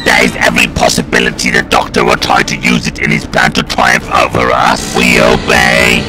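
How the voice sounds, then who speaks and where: Ruthless armoured alien war machine, gravelly and swooping, barking staccato orders over loud music. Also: male voice, wildly swooping pitch, normal speed, exaggerated voice. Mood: cold, domineering. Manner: shrill staccato barking commands. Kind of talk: fan audio drama acting.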